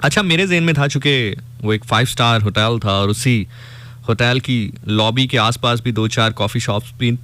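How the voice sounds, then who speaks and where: A man's voice: medium pitch, normal speed, a bit bright. Pakistani man telling a story on radio.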